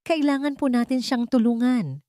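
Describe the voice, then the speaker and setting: A woman's voice: a little high, normal speed, warm tone, no room sound, pitched above the performer's own voice, close to an everyday voice. A woman narrator plays a young servant girl in a dramatic story. She is frightened and pleading, polite yet urgent, in a dry, close recording.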